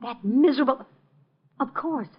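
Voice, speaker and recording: A woman's voice: high-pitched, moderate pace, breathy edge. An actress playing an indignant, haughty woman at an art gallery in a 1950s radio drama. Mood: agitated, indignant. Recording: noisy, muffled 1950 radio broadcast, clicks.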